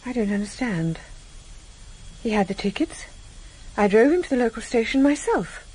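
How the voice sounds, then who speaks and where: An actress playing a composed, sharp-witted wife, guarded and anxious, in a radio drama. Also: female voice, on the high side, animated, moderate pace, a little hiss or hum, lightly acted, poor audio. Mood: anxious, indignant.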